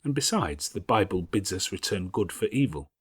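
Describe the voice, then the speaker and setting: A male reader voices a bookish, devout older schoolgirl in an audiobook. The delivery is earnest and measured, gently moralising, with expressive rises and falls. The tone has a soft huskiness. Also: deep voice, breathy edge, dry recording, studio quality, exaggerated voice. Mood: gently admonishing. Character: patient, devout, bookish older schoolgirl, gravely self-critical. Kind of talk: audiobook character voice.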